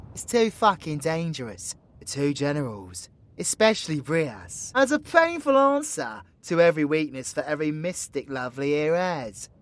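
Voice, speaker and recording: A man's voice: fairly high pitch, fairly bright tone. Man playing a cheeky British warlock, swooping voice. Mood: urgent warning. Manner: cheeky, slangy, irreverent. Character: cocky, foul-mouthed, joking young male warlock. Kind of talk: dramatic audio roleplay.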